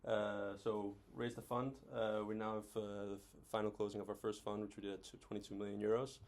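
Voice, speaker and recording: A man's voice: lowish voice, little pitch movement, a little fast, darkish tone. A man in his thirties from Denmark, giving an informal, self-deprecating presentation as an executive and guest speaker, with hesitant phrasing. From Denmark, raised across several countries. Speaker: venture capital COO, guest speaker.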